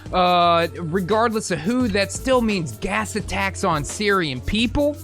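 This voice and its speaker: An American man hosting a casual livestream talk show, rambling and swearing freely as he thinks out loud. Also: high voice, expressive, measured pace, soft background music. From United States. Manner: rambling, profane, thinks aloud. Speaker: host.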